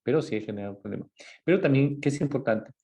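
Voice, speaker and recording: A man's voice: medium pitch, animated, fast talker, dark tone, husky. A man from Ecuador, a dentist, giving an informal lecture in a conversational way with many fillers and tag questions.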